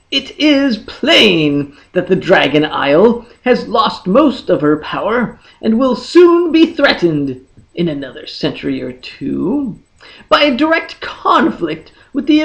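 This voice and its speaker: A storyteller reading a fantasy tale in a dramatic, deliberate manner with lingering pauses. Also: mid-range voice, creaky, dull audio. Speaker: audiobook reader. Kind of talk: dramatic scripted fantasy narration.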